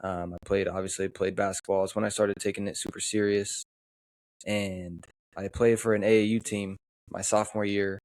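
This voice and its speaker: A young man from the western United States co-hosts a podcast in a relaxed, easygoing manner. He often stops on "um". His voice sits low, and the recording is dry and close. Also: dry recording. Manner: relaxed, easygoing, many "um" pauses. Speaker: podcast co-host. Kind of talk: casual chat.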